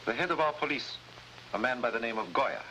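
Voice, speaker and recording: An actor playing a formal, cautious head of government, in measured 1950s drama style. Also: male voice, mid-range voice, lively intonation, pushed and bright. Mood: grave, cautious. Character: grave, formal Latin American head of government. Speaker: actor in a supporting role. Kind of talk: 1950s spy drama acting. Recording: noisy vintage 1950s broadcast recording.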